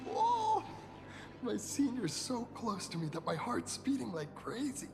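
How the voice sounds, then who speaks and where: A man's voice: very high voice, huge pitch swings, measured pace, full character voice. A man playing an earnest, self-doubting young rookie hero in a video game, speaking in a humble, hesitant manner. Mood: shy, flustered. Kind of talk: animated game voice acting. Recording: long reverb, clear music behind.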